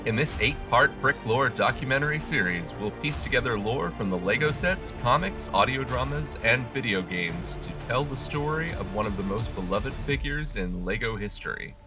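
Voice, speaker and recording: A man reading scripted documentary narration in a measured storytelling manner. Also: mid-range voice, lively intonation, over music.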